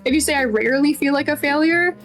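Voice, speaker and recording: A woman with a fairly high voice chats informally as a game developer in a relaxed Q&A. She is self-deprecating and peppers her talk with "like", and music plays behind her. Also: fast. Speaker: game developer being interviewed. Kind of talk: casual Q&A chat.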